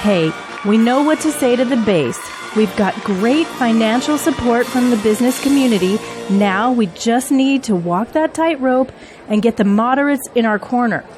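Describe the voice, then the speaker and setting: A woman's voice: a little high, expressive, normal speed, a little mellow, faint music behind, natural voice. A woman acting in an audio drama as a busy, earnest political campaign manager and single mother. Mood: upbeat, confident.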